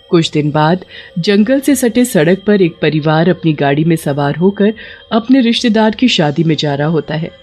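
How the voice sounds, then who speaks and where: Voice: medium pitch, warm tone, highs cut off. A narrator reading a scripted story for a horror cartoon.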